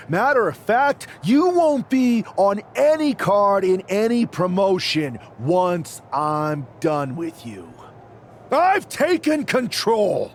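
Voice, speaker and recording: Treacherous faction leader for a wrestling game: theatrical, menacing, slow delivery, faint background noise. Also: male voice, high voice, exaggerated voice. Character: treacherous, power-hungry young male wrestling villain leading a rebel faction. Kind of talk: wrestling game cutscene promo.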